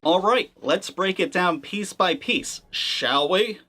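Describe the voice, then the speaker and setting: A man's voice: sits high, expressive, slow pace, pushed and bright. A man hosting a gaming channel, talking through a topic in a casual, wry way with plenty of hedging. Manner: conversational, wry, hedging with fillers. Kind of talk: casual commentary.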